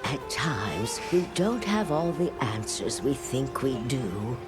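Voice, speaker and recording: An actress playing a gracious, wise village elder in a video game, speaking in a solemn, formal, measured way over music. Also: female voice, extremely low pitch, very animated, full character voice. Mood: solemn, grateful. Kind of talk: video game cutscene acting. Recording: music behind.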